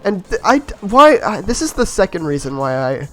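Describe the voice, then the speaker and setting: A man with a somewhat high voice, a little raspy from a cold, talks through his gameplay in a loose, rambling way. He pokes fun at his own blunders as he goes, and faint game music plays behind him. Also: big pitch swings, moderate pace. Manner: easygoing, rambling, self-mocking with chuckles. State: slightly raspy from being sick. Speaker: gameplay commentator. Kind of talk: casual voice-over commentary on a game run.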